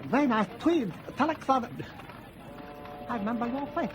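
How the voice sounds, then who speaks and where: A man's voice: very high voice, lively intonation, normal speed, noisy background. A man playing a pushy Singapore street tout in a radio drama, speaking broken English in a salesman's patter. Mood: wheedling. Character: pushy Singapore street tout, wheedling, quick to turn hostile.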